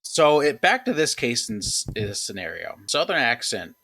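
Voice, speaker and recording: A man's voice: mid-range voice, big pitch swings, normal speed, pushed and bright, a little hiss or hum. A man co-hosting a podcast, laid-back, with short reactions and dry jokes. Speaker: podcast co-host. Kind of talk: casual podcast chat.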